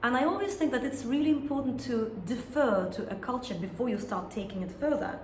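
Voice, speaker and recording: Russian-accented woman singer, reflective interview talk that pauses and restarts to find words; roomy sound. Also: high-pitched, lively intonation. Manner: thoughtful, searching for words, frequent restarts. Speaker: singer and songwriter. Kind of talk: interview conversation. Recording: echoey room with some noise.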